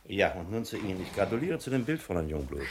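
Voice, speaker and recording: A man's voice: sits low, very animated, fast, a little hiss or hum. A man playing a composed, self-important newspaper editor in a 1960s German radio play, speaking precisely and politely. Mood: pleased.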